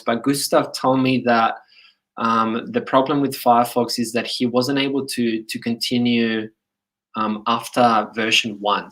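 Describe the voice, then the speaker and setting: A man's voice: mid-range voice, pushed and bright, rough recording. Casual livestream host, a man in his thirties with a Chilean accent gone part Australian, loose and full of restarts. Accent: Chilean Spanish, partly Australian. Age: 30s. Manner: rambling, many fillers and restarts.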